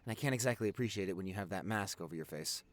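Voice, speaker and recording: A man's voice: deep voice, lively intonation, a little hiss or hum. A man playing a teasing, silly, doting boyfriend who has just woken up, rambling and gushing in an intimate audio roleplay. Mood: tender, adoring. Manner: rambling, gushing, halting with repeated words.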